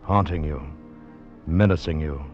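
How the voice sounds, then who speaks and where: An actor playing a menacing, portentous narrator of a 1940s radio suspense teaser. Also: male voice, extremely low pitch. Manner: slow, portentous. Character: ominous teaser narrator, menacing and doom-laden. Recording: dull vintage 1940s radio with music.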